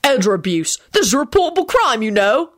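A woman voicing a stern, indignant senior male paramedic in a loud, formal, barking manner for a story. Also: a little high, very animated, a little fast, voice pushed up.

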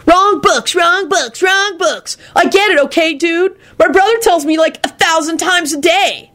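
A woman's voice: very high voice, measured pace, a bit bright, pitched above the performer's own voice. A woman voicing a rude, whiny young man who is stoned, shrieking and drawling. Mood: shrieking, annoyed.

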